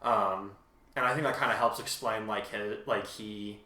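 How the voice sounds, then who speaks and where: Rambling man, bright voice in an echoey room. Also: fairly low pitch, fairly even intonation, distant mic. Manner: rambling, self-correcting, halting mid-thought. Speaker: host and fan fiction author. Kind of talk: casual fan discussion.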